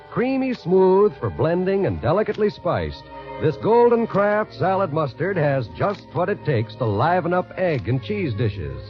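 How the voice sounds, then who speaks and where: Upbeat high-voiced 1940s ad announcer, male. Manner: bright, upbeat salesman. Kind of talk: scripted radio commercial. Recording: dull 1940s radio broadcast.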